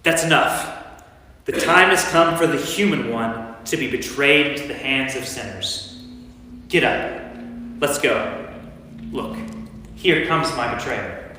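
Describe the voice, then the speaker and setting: A man's voice: medium pitch, animated, slow, pauses often, pushed and bright, a little hiss or hum. A man playing an anguished yet resolute Jesus in a staged church reading of scripture, praying and rebuking. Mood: urgent, reproving. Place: church, recorded from a distance. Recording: very echoey church, distant mic, long reverb.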